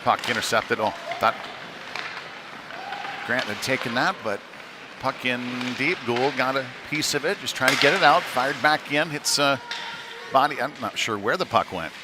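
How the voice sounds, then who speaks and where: A man's voice: mid-range voice, normal speed, over a noisy crowd. A man giving live play-by-play of a youth ice hockey game in short, clipped phrases that trail into asides. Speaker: play-by-play commentator. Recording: noisy rink with ambient clatter behind. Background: rink game noise.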